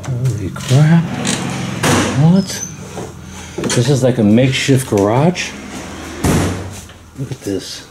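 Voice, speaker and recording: A man's voice: medium pitch, expressive, slow, pauses often. Awed man exploring an echoey abandoned house. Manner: awed, chatty, keeps addressing viewers. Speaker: urban explorer vlogger. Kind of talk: casual exploring vlog. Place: inside an abandoned house and garage. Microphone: handheld camera mic. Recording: echoey empty rooms with background noise.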